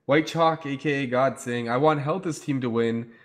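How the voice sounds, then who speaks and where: A man casting a live online game match, talkative and playful, his voice going hoarse. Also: medium pitch, normal speed. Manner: talkative, playful, hypes the chat. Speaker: esports caster. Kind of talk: casual live game commentary.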